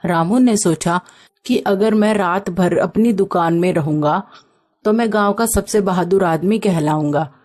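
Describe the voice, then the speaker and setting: A woman's voice: on the low side, measured pace, a little mellow. A woman reading a scripted story as its narrator.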